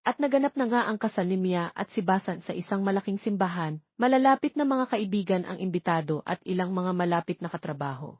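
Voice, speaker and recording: A woman's voice: lowish voice, animated, fairly quick, hardly any pauses, slightly rough, no echo, muffled recording. A woman with a Filipino accent reading scripted narration of a romance story as an audiobook narrator.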